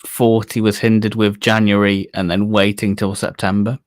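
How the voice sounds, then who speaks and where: A man's voice: fairly low pitch, little pitch movement, normal speed, no room sound, studio quality. A man co-hosting a fan discussion show in a casual, conversational way. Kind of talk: casual chat.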